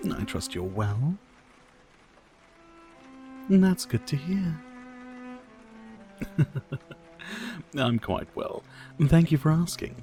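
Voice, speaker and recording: A man playing a suave, sadistic vampire host in an intimate ASMR roleplay, courtly and mocking, with seductive drawn-out pauses. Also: medium pitch, dark tone. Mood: courteous, teasing. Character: suave sadistic male vampire host toying with his prey.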